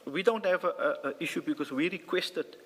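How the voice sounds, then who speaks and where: A South African man, a police captain, gives formal testimony as a witness, sometimes halting and repeating words. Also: on the high side, slight background noise. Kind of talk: formal testimony, partly reading a statement aloud. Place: large indoor hearing room. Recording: reverberant hearing room.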